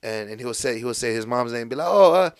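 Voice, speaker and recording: A man's voice: medium pitch, fairly quick, clean tone. Young man, podcast guest, loose storytelling, plenty of fillers. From Morocco, raised in the United States. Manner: rambling storytelling, heavy fillers, lively voices for quoted people. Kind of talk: casual podcast conversation.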